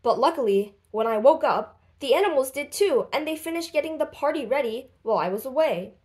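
Woman as cheerful, capable girl, lively pitch. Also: brisk.

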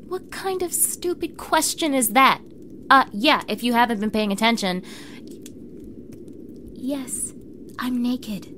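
A fairly high-pitched young mean girl acted by a woman in an audio drama. She is bossy and snippy, talking to the listener with exasperated sarcasm. Clearly audible ambient noise runs behind her voice. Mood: flustered, bossy. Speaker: audio roleplay performer.

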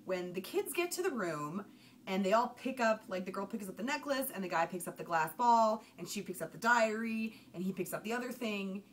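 A woman's voice: medium pitch, a little hiss or hum. A young woman talking casually to her webcam at home, chatty and emphatic as she gives a movie review. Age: young adult. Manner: chatty, animated hand gestures, emphatic outbursts. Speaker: vlogger. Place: small home room with shelves. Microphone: webcam at desk.

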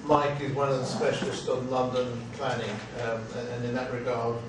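An older man hosting a seminar with an informal, rambling, halting and jokey introduction. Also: mid-range voice, flat delivery. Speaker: seminar host introducing a speaker. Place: small seminar room. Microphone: far camera microphone. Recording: distant mic, echoey noisy room.

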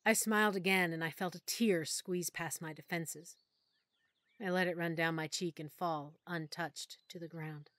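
A woman narrating a first-person audiobook in a soft, emotional storytelling manner. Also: lowish voice, steady tone, a little slow, dry recording.

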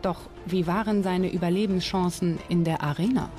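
A documentary narrator reading a scripted commentary in a measured, explanatory way. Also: mid-range voice, fairly even intonation. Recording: music bed under narration. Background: music.

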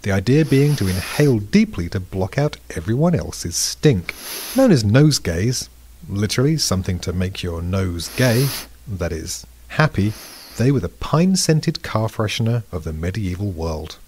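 A British man giving a scripted podcast explanation, measured and dryly witty. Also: lowish voice, big pitch swings, darkish tone, a little hiss or hum.

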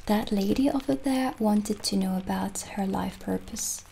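A young woman playing a gentle, kindly witch shopkeeper in an ASMR roleplay, soft-spoken and soothing, slipping toward breathy near-whispers. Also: mid-range voice, unhurried, a little hiss or hum. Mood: calm, helpful. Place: small indoor candlelit set.

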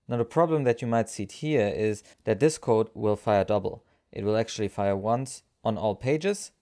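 A man narrating a software tutorial as its presenter, explaining things step by step. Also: on the low side.

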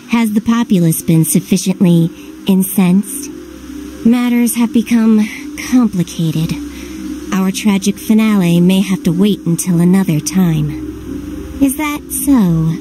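Game villainess: cold schemer, mid-pitched, very slow and theatrical, formal diction over music. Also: female voice, low-quality recording. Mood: cool, measured. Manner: measured, formal, haughty. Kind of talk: video game voice acting. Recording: music behind.

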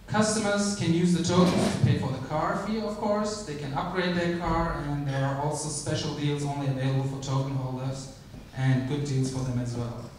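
Man, conference pitch, measured with ums, distant mic, boomy theater reverb. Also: steady tone, background noise. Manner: measured, with frequent ums and restarts. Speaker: startup CEO pitching on stage. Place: large theater stage. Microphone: handheld mic, filmed from the audience. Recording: echoey hall from far off.

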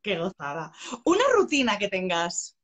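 A woman's voice: medium pitch, sing-song, normal speed. A bubbly, effusive woman with an accent from Spain, chatting casually as host of an interview from a room at home. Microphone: phone held close, live video call.

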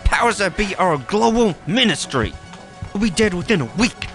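A man's voice: sits high, lively intonation, moderate pace, fairly bright tone, faint music behind. An actor playing a panicky, agitated, foul-mouthed henchman in an audio drama.

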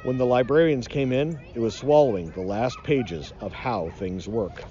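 A man's voice: mid-range voice, normal speed, warm tone, highs cut off. A middle-aged man reading a children's storybook aloud in a dramatic, mock-ominous way. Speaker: nature educator reading aloud.

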